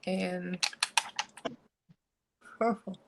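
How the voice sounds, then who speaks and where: A woman's voice: on the low side, fairly even intonation, drawn-out words, creaky, heavy background noise. A woman with a Russian accent chatting casually and giggling as she does her makeup live for viewers.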